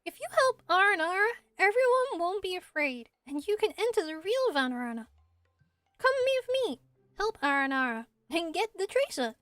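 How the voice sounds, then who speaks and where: A woman voicing a small, timid, childlike forest spirit with simple, halting, earnest phrasing in a fan-dubbed fantasy game. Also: extremely high pitch, very animated, fairly bright tone, no echo, faint music behind, exaggerated voice. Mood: hesitant, sincere. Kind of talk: game character voice acting, fan dub.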